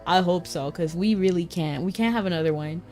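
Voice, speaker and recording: A woman's voice: fairly low pitch, a little fast, darkish tone. A woman streamer giving casual, playful running commentary on a game story in a chatty, slangy way. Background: faint game music.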